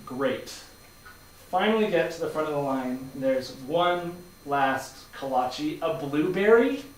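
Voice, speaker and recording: A man's voice: fairly high pitch, lively intonation, takes it slowly. A man acting in a staged play reading as a skeptical, grumbling customer with a dry, wry delivery. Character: skeptical, dryly grumbling male customer, a bakery regular. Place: live staged reading in a room. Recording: roomy, distant mic, faint noise.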